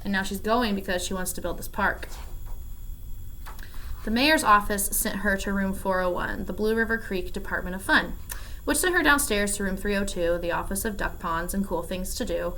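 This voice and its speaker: A woman reads a children's picture book aloud with expression and easy, explanatory asides. Her voice sits at an average pitch, with some noise in the background. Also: sharp tone, poor audio. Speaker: read-aloud storyteller at a school. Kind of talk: children's storybook read-aloud with casual asides.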